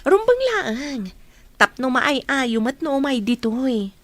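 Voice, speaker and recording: A woman's voice: high voice, very animated, takes it slowly, fairly bright tone, heavily acted. A woman acting a kind, grateful young woman and caring older sister in a radio comedy drama. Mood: tender.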